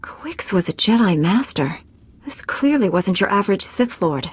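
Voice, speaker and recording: A woman's voice: medium pitch, very animated, normal speed, slightly rough. An actress playing a resolute, serious female Jedi Knight in a video game, direct and terse. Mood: firm, probing.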